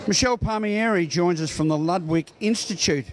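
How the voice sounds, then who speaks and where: A man with an Australian accent working as a local TV news interviewer, phrasing his questions in a halting, hesitant way. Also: sits high.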